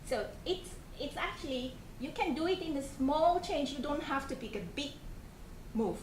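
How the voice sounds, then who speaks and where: A woman's voice: sits high, animated, moderate pace. Malaysian woman lecturing in an echoey room. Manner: conversational, asks the audience questions, frequent restarts and fillers. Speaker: programme coordinator giving a talk to students. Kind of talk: informal presentation to a student group. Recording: echoey room, faint background noise.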